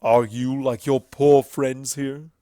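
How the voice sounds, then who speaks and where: Storybook villain: male reader as embittered winged stallion, sarcastic, sneering, slow and loud, dry sound. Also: medium pitch, little pitch movement, dry recording, close to an everyday voice. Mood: goading. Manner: theatrical, drawn-out venomous sarcasm. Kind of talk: dramatic storybook character reading.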